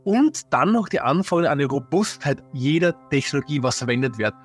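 A man speaks freely as an expert, restarting his sentences as he thinks. His voice sits fairly high. Soft music plays underneath. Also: animated, a little fast, husky. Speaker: interviewed expert. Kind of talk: interview answer.

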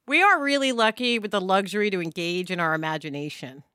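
A woman co-hosts a podcast in a conversational way. Her voice is bright and very clear, at a middling pitch, recorded dry. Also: fast, no echo. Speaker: podcast co-host.

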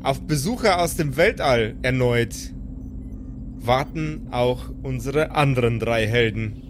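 Slow, dramatic male storyteller. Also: medium pitch, noisy background. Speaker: game master and narrator. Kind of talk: dramatic improvised storytelling narration.

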